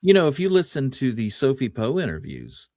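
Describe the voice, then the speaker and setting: A man hosting a podcast, telling Western history in a conversational, somewhat halting way. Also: mid-range voice, sing-song, a crowd in the background. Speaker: podcast host.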